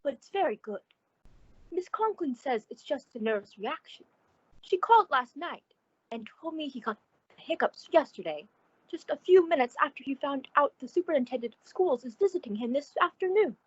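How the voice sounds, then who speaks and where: Actress as good-natured busybody landlady, old radio sitcom, animated high female voice, dry dull recording. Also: normal speed, no room sound. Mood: earnest, fussy. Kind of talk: old-time radio comedy acting. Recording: dull, muffled audio.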